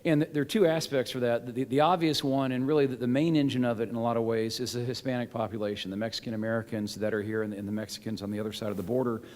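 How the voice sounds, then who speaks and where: A man's voice: medium pitch, fairly even intonation, racing, hardly any pauses, a little mellow. Folksy man speaking in a hall. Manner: folksy, self-deprecating, many fillers and restarts. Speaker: keynote speaker. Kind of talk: conference keynote speech. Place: large conference hall. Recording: echoey hall with long reverb.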